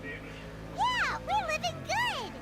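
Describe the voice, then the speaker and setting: Squeaky, excitable little game companion. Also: female voice, very slow, exaggerated voice. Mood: excited, curious. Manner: perky, exclamatory. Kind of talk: cartoonish video game acting. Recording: game music behind the voice.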